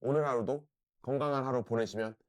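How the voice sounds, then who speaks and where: A middle-aged man with a hoarse voice from a throat cold, earnestly and persuasively reviewing a home body-composition scale in a casual vlog. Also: medium pitch, steady tone, fairly quick, no echo, clean studio sound. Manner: earnest, repeats "진짜" often, persuasive. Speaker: diet product reviewer.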